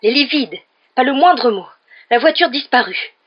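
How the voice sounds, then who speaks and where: A woman's voice: on the low side, brisk, highs cut off, natural voice. A woman voicing a short, plump, fierce mother who scolds and fusses over children in an audiobook story. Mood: furious scolding. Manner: loud, emphatic, exclaiming scolding.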